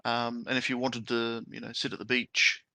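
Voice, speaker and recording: Bright-voiced man chatting. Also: medium pitch, monotone, moderate pace, dry recording. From Australia. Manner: loose and chatty, leans on "you know" and "um". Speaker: podcast host. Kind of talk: casual chat.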